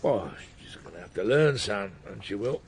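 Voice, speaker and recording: A man's voice: lowish voice, expressive, moderate pace, slight background noise. An actor playing a paternal, stubborn British detective inspector, a man who probes and needles in a naturalistic radio drama. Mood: concerned, questioning. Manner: probing, needling, calls colleague son. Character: probing, paternal senior male detective, stubborn boss.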